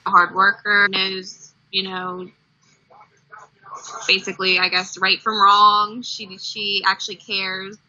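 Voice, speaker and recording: A woman's voice: mid-range voice, flat delivery, slow, sharp tone. An American woman in her twenties giving casual, conversational interview answers. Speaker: interviewee, family member. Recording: dull, lo-fi sound.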